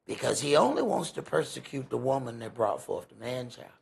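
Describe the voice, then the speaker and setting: Gravelly, husky preacher. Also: male voice, mid-range voice, very animated, a little fast. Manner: emphatic, rhetorical questions, folksy phrasing. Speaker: preacher leading a Bible study. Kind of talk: conversational sermon with scripture commentary.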